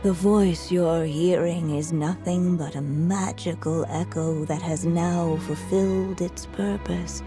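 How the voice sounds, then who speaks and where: A woman voicing a gentle, wise mother who is a writer, speaking softly and at times half-whispering. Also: lowish voice, fairly even intonation, faint music behind. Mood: tender. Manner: soft, unhurried, often drifting into a whisper. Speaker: storyteller mother. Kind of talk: video game voice acting.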